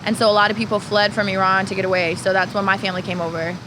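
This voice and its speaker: A woman's voice: mid-range voice, flat delivery, racing, background noise. A young woman from California, a podcast guest chatting candidly, laughing and swearing freely. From California, USA. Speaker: interview guest. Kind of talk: casual podcast interview.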